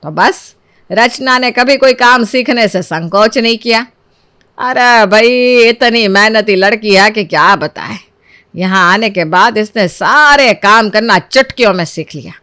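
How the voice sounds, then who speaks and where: A self-assured older mother-in-law from a cartoon family tale, put on by a woman. She lectures and persuades in a high voice with a dry, close sound. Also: unhurried, sharp tone, dry recording. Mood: lecturing. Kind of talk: cartoon moral story voice acting.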